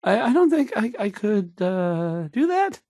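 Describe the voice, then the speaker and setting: Stammering, nervous, awkward radio host. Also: male voice, high voice, very animated, unhurried, a little mellow, no room sound, studio quality, heavily acted. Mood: anxious, hesitant. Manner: stammering, hesitant, nervous, trailing off. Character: timid, awkward young male radio host, anxious and insecure.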